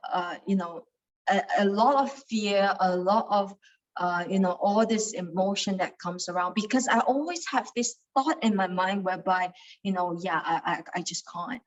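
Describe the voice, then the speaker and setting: A woman's voice: medium pitch, poor audio. A young woman from Malaysia with a Malaysian English accent, sharing a personal experience with a study group, hesitant, with many fillers and repeated words. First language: Cantonese.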